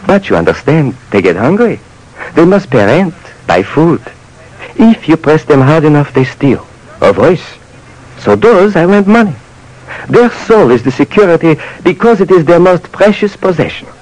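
An actor playing a poor, earnest old pawnbroker in a vintage radio drama, speaking in halting, philosophical phrases. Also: male voice, on the high side, big pitch swings, a little mellow. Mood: earnest, pleading. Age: older. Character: poor old pawnbroker who lends money on souls.

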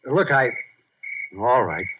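A man's voice: mid-range voice, big pitch swings, slow, husky, heavily acted. Evasive young man, muffled. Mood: defensive, uneasy. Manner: hesitant, defensive. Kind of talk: 1950s radio drama acting. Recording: muffled vintage 1950s radio recording.

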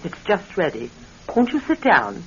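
An actress playing a gracious, mysterious spirit medium in an old radio drama, courteous and solemnly assured. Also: female voice, fairly low pitch, wildly swooping pitch, measured pace, fairly bright tone, full character voice. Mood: hospitable. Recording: muffled, hissy vintage radio recording.

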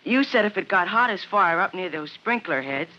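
A scrappy, impatient schoolboy in a vintage radio serial. The voice is sharp and bright, the talk blunt and slangy, and the old recording sounds dull. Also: female voice, fairly low pitch, lively intonation, normal speed. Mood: excited. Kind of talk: old-time radio drama acting.

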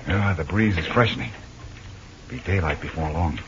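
An actor playing a bold, determined American adventure hero, plain-spoken and commanding, in a 1940s radio serial. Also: male voice, very deep voice, lively intonation, normal speed. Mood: alert, commanding. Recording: muffled old radio, faint noise.

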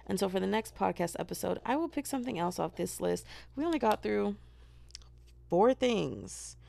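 Chatty American woman with a gravelly voice. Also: mid-range voice, very animated, moderate pace, dark tone. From United States. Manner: rambling, self-deprecating, giggly. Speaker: podcast host. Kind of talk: solo podcast chat.

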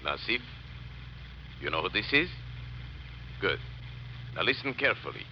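An actor playing a commanding criminal plotter on a taped phone call in a classic radio drama, speaking tersely. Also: male voice, deep voice, sing-song, measured pace, exaggerated voice. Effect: played-back tape of phone call. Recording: dull, noisy, distant tape playback.

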